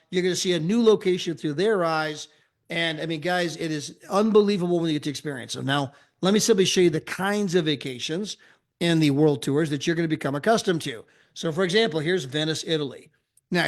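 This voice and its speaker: An upbeat American man with a fairly high voice pitches travel deals to a crowd of listeners. He talks energetically and keeps tossing in "right?" and "okay?" to hold their attention. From United States. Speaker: travel club presenter. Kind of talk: sales pitch presentation.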